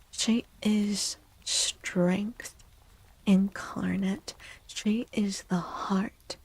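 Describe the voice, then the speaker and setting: Soft, breathy, slow-spoken woman. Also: mid-range voice, low-quality recording. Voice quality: soft, breathy, half-whispered. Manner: gentle, slow, deliberate with pauses. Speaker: livestream host and tarot reader. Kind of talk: soft-spoken ASMR tarot reading.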